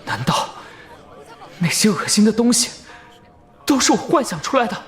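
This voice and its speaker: Wary, commanding young Taoist. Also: male voice, high voice, big pitch swings, moderate pace, soft background music, a faint crowd. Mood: shaken doubt. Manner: clipped, terse orders. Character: young Taoist senior brother, wary, urgent, commanding leader. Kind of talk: Chinese audio drama acting.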